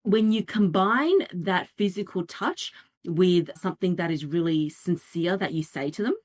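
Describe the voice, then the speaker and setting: Chatty woman giving a dating workshop. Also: lowish voice. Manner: lively, chatty explaining with quick asides and acted-out quotes. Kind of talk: interactive workshop talk.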